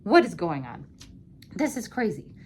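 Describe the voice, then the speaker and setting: A woman's voice: mid-range voice, very animated, measured pace, darkish tone, slight background noise. A woman telling a puppet-show story for children in a playful, informal way with simple explanations.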